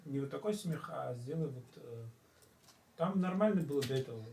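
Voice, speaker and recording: A man's voice: medium pitch, animated, normal speed, gravelly, a little mellow. A man casually giving directions to voice actors. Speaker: director guiding a voice session. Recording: echoey, distant, noisy room.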